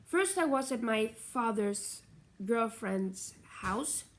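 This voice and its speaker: A woman's voice: fairly high pitch, lively intonation, takes it slowly. Chatty nasal woman vlogging. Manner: chatty, meandering, self-correcting. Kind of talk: casual rambling vlog chat.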